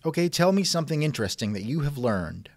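A man's voice: fairly high pitch, sing-song, fast, no room sound. A man hosting a conversational interview, asking questions in a wry, skeptical manner. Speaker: interviewer, host. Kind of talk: reenacted text-chat interview, conversational.

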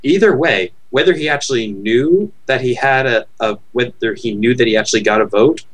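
A man's voice: medium pitch, fairly quick. Rambling male co-host on a close mic. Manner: rambling, apologetic, thinks out loud. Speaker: podcast co-host. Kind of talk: casual podcast chat. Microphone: close headset mic.

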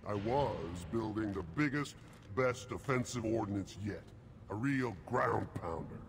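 Brief: exasperated alien mad scientist, very low voice, haughty grumbling game dialogue, long reverb and noisy background. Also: male voice, creaky. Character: pompous, violent alien reptilian mad scientist, easily exasperated. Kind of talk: video game character dialogue.

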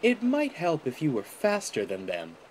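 A man acts out a female locomotive from a children's story. Her voice is pitched up and lilting, prim and polite, with a dry, sharp tongue. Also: very animated, normal speed, loud music behind the voice. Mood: sweetly teasing.

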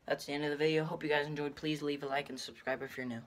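A teenage boy talking casually and mumbling low-key asides while he films a prank on a phone at home. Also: little pitch movement, rapid-fire. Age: teens. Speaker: prank vlogger. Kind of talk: casual vlog talk. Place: small bathroom and home kitchen. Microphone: phone camera. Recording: echoey room, noisy phone audio.

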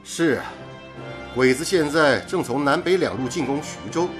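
An actor playing a chatty, blunt and loyal radio engineer in a radio drama, exclamatory and teasing. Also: male voice, a little high, expressive, moderate pace, fairly bright tone, faint music behind. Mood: concerned.

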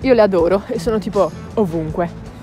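A woman in her twenties chatting excitedly as she vlogs outdoors on city streets, holding her phone at arm's length. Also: mid-range voice, fairly quick, soft background music. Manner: chatty, excited, mixes English words. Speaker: vlogger. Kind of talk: casual travel vlog. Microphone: phone held at arm's length.

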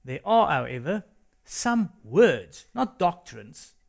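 A South African man whose first language is Afrikaans, co-hosting a religious discussion in an earnest, halting way. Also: a little high, sing-song, gravelly. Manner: earnest, hesitant with restarts. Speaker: co-host. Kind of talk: studio discussion.

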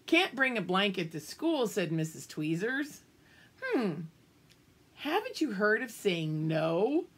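Animated, husky older woman reading a storybook. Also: medium pitch, slow. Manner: animated, exaggerated emphasis with asides to the children. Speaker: children's storybook reader. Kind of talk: expressive read-aloud of a picture book for young children. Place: small room at home, indoors.

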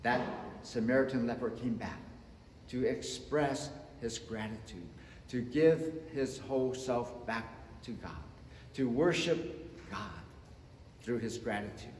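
A man in his fifties preaching a sermon from the front of a church sanctuary, slow and emphatic, swinging between loud and soft. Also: mid-range voice, a little hiss or hum. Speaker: pastor. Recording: echoey church with long reverb.

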